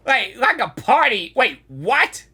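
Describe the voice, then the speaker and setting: A woman gamer reacting casually to a video game, excitable and quick to exclaim. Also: sits high, gravelly, slightly rough. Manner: excitable, exclaiming, echoing the dialogue. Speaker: gaming reaction video creator.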